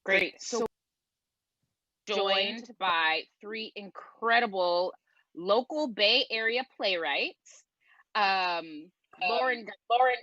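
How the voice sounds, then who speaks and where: Slightly muffled, bright-voiced woman host. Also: a little high, no room sound. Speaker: show host. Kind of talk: conversational panel hosting. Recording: slightly dull, lo-fi sound.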